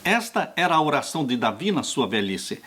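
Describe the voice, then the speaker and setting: Pastor in his 60s, earnest sermon delivery, husky voice with a lively, rising and falling pitch. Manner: earnest, slow pastoral preaching, warmly addressing listeners as "dear ones". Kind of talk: sermon on a Bible study lesson.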